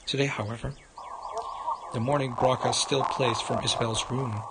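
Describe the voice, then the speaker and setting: A man's voice: mid-range voice, fairly even intonation, normal speed. A man livestreaming a visual novel, reading it aloud and commenting, often stumbling over the words. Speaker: game livestreamer. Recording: loud background noise.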